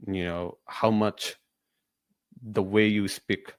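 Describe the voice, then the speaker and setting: A man with a fairly low voice hosts a casual podcast, talking freely and asking eager follow-up questions. His voice is recorded close and dry, with no room sound. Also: steady tone, slow, no echo. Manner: chatty, asks follow-up questions. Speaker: podcast host.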